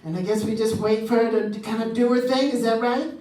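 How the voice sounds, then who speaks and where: A woman playing an excitable, flustered and saucy lady in a comic live radio sketch, halting and playful. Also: a little high, close to an everyday voice. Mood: delighted, amused. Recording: distant, echoey stage with background noise.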